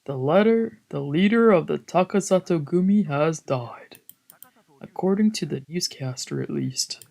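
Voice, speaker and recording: A woman's voice: deep voice, expressive, unhurried, creaky, warm tone. A woman VTuber streamer reading visual novel narration aloud in a dramatic storytelling style.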